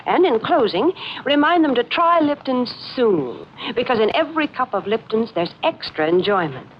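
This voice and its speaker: A cheery, prim spokeswoman delivers an emphatic radio pitch. Her voice is fairly high and very animated. The old broadcast sounds dull, with faint noise. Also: normal speed. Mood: cheerful persuasion. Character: cheery, prim woman pitching tea to the host. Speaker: sponsor spokeswoman. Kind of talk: 1940s radio advertising banter.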